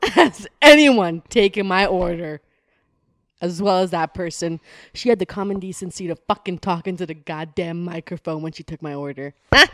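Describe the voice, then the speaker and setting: A woman's voice: fairly low pitch, a bit bright, dry recording, studio quality, close to an everyday voice. A woman playing a hostile bad cop who goads and swears at a suspect during an interrogation. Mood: taunting. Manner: sneering, goading, repeats "huh?", swears.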